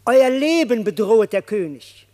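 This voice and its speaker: An eager tomcat messenger, voiced by a man calls out in a strikingly high voice. The delivery is courteous and ceremonious, in the manner of a classic radio fable. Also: expressive, normal speed, a little mellow. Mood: formal greeting. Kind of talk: classic German radio play of a verse fable.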